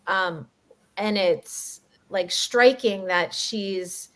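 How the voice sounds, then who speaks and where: Slow-talking woman with bright voice. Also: mid-range voice. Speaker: panel guest. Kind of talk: casual panel discussion.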